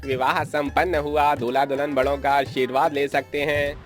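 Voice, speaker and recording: A man's voice: fairly high pitch, level tone, normal speed, soft background music, natural voice. A man voicing a ceremonial, instructing elder at a wedding in a cartoon family drama.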